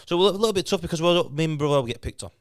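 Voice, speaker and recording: A man's voice: on the high side, expressive, rapid-fire, no echo, clean studio sound. A man in his thirties from Lithuania with a Yorkshire accent and Lithuanian as his first language, a podcast guest talking candidly in a casual chat, swearing and restarting his words often. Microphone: podcast mic.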